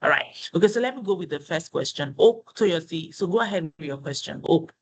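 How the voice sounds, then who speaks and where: A man with a slightly higher-than-usual voice moderates a career webinar. He talks loosely and conversationally, tagging his points with "you know" and "right?" as he walks the audience through them. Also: expressive, fairly quick. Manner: explains with frequent "you know" and "right?" tags.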